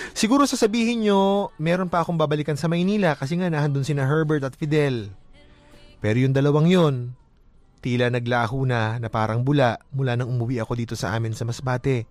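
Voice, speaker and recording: A man narrating a first-person story in a conversational, slightly hesitant way. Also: medium pitch. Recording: music bed under the voice.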